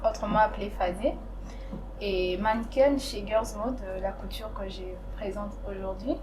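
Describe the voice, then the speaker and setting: A woman introduces herself hesitantly, with frequent pauses. Her voice is mid-pitched, and clear noise runs behind it. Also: fairly even intonation. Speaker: guest. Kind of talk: self-introduction. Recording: noisy background.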